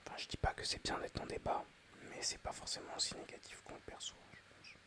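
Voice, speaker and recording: A man whispering ASMR-style commentary while playing a mobile game, chatty and excitable, addressing his viewers. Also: fast.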